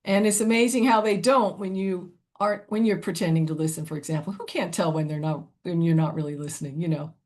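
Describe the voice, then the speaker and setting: A woman's voice: quick pace, few pauses. An older woman with a youthful-sounding voice presenting a webinar in a chatty, conversational way, often tossing in "you know" and "right?". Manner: chatty, lively pitch swings, frequent "you know" and "right?".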